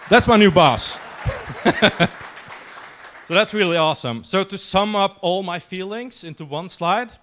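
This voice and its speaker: A man's voice: high voice, animated, a little slow, long pauses, fairly bright tone, slight background noise. A man of about forty from Norway, with a Norwegian accent, giving an informal, conversational conference talk to a crowd. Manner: informal, conversational, self-deprecating. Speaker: conference speaker. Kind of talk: casual conference presentation. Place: conference session room before a crowd.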